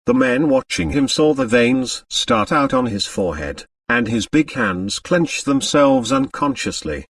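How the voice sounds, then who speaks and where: A man's voice: mid-range voice, measured pace, dry recording. Male narrator for scripted mystery fiction: dramatic, very varied intonation, dry recording at some distance from the mic. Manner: expressive, dramatic storytelling. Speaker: audiobook narrator.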